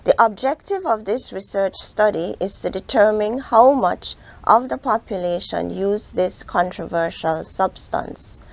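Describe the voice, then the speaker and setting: A woman's voice: medium pitch, measured pace, vocal fry, hardly any pauses. A woman delivering a prepared public statement as an official. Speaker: medical official, commission chair.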